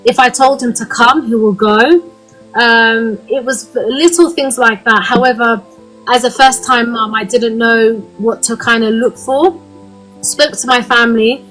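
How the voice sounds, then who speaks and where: A woman's voice: a little high, steady tone, measured pace, fairly bright tone, soft background music, rough recording. Earnest British woman, many fillers. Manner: earnest, frequent "um" fillers, candid. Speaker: guest speaker. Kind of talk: live online talk.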